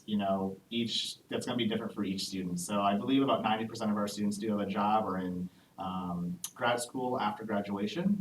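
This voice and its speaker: A man working as an admissions officer who hosts a live Q&A panel and explains things in a friendly way, with frequent "um" pauses. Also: lowish voice. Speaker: assistant director of international undergraduate admissions. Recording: echoey room, distant mic, faint background noise.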